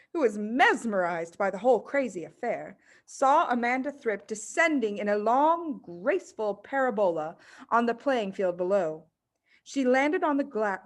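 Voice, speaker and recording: Expressive, higher-voiced woman reading a children's story. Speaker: audiobook reader.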